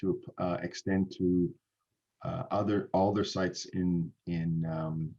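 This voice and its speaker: Deep-voiced Canadian man on a dull call. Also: steady tone, dark tone. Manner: halting, many restarts and fillers. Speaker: company founder presenting. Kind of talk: seminar Q&A answers. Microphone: video call. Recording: dull lo-fi video call audio.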